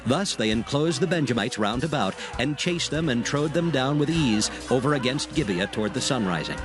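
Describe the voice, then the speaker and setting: A man's voice: mid-range voice, expressive, moderate pace, over music. A man reading dramatized scripture as the narrator, in a scripted storytelling style.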